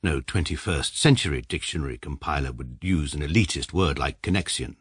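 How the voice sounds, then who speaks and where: An actor with a British accent playing a stiff, pedantic upper-class lawyer, narrating and speaking formally and precisely. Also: male voice, a bass voice, a little fast, husky, no room sound, studio quality. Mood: pompous narration. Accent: British English. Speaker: narrator and lead. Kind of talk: radio comedy drama.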